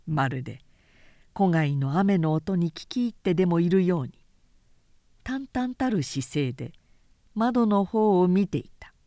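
A woman narrating a literary audiobook in a measured, deliberate storytelling manner. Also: lowish voice, animated, mellow, no room sound, studio quality.

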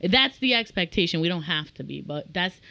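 A woman in her thirties from the Dominican Republic, a realtor talking openly in a casual podcast chat, animated and laughing often. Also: fairly low pitch, creaky, no echo, highs cut off. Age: 30s. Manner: animated, laughing, talks in quick bursts. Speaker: realtor guest.

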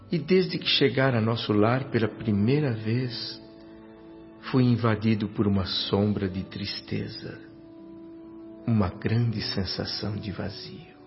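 Man narrating slow and thoughtful, lowish voice, dull audio, soft music. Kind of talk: scripted first-person narration. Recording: dull radio drama audio with faint music.

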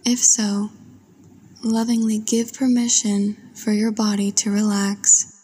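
A woman narrating a guided meditation in a soft, breathy voice, with a soothing, gentle and unhurried manner. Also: mid-range voice, little pitch movement. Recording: long washy reverb.

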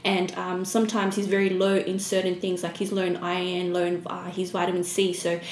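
A woman's voice: on the low side, completely flat delivery, a little fast, a little mellow. Woman guest, echoey noisy room. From Fiji-born, raised in Australia. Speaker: podcast guest, small business owner. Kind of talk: casual interview chat. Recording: echoey room with background noise.

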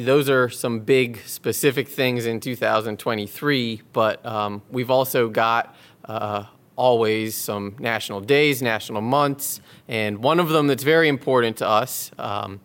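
A man co-hosting a casual podcast, introducing the guest and quick to agree, with plenty of filler sounds. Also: medium pitch, a bit bright. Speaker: podcast co-host.

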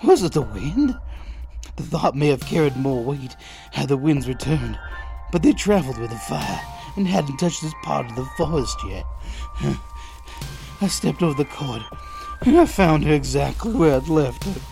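An actor playing a desperate, protective father in a post-apocalyptic audio drama, narrating tensely and deliberately in the first person. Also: on the high side, big pitch swings, vocal fry, warm tone, faint music behind, poor audio. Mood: urgent, fearful.

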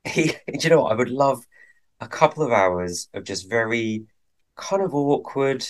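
A man's voice: mid-range voice, expressive, normal speed. A man co-hosting a podcast, chatting casually with a hesitant, rambling manner and many fillers. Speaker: podcast co-host.

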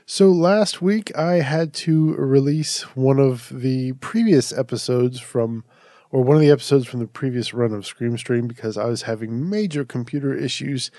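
Stuffed-up, mellow-voiced podcast host. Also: male voice, mid-range voice, normal speed, dry recording, studio quality. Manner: chatty, rambling with restarts and fillers. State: stuffed up, slightly nasal from allergies. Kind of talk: casual horror movie review.

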